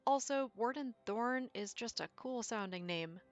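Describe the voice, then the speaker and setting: A woman's voice: a little high, animated, measured pace, lo-fi sound. A woman hosting a video, reading scripted lore commentary about a video game. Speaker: video host. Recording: music behind the voice. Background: music.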